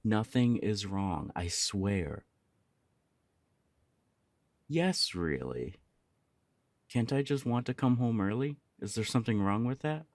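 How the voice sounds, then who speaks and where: A man's voice: on the low side, expressive, takes it slowly, pauses often, darkish tone, no room sound. A young man playing an easygoing, affectionate punk roommate in an intimate ASMR roleplay, chatting casually and teasingly. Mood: defensive, earnest. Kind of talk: intimate ASMR roleplay, one side of a conversation.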